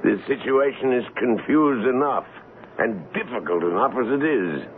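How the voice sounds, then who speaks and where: Man doing a worried loyal colonel, old radio play, formal and urgent, really varied pitch, thin hissy sound. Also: expressive, moderate pace, lightly acted. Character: anxious, dutiful colonel, aide to a king. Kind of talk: 1970s radio drama acting. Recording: noisy narrow-band vintage radio broadcast.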